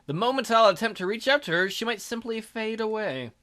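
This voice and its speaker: A man voicing a hesitant, lonely man in a visual novel, speaking in a halting, earnest way. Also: high voice, lively intonation, bright, forceful tone, pitched above the performer's own voice. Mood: timid, uneasy. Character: hesitant, lonely man waking in a dark void.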